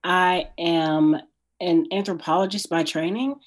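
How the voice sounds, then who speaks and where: A woman's voice: on the low side, fairly even intonation, unhurried. A woman guest introducing herself earnestly as an anthropologist and writer. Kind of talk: self-introduction on a podcast.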